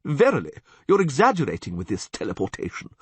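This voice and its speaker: A man's voice: medium pitch, huge pitch swings, quick pace, breathy edge, no room sound, pitched above the performer's own voice. A man voicing a portly, haughty court chamberlain who speaks emphatically. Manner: pompous, emphatic. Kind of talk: audiobook character voice.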